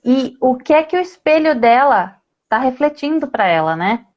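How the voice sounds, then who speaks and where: Higher-voiced Brazilian woman hosting a live chat. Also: very animated, moderate pace, highs cut off. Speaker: host. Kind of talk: casual live-stream conversation.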